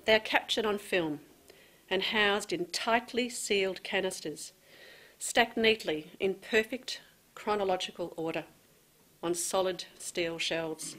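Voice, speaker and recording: A woman's voice: mid-range voice, unhurried, a bit bright, rough recording. A woman reading a prose poem as a writer presenting her work.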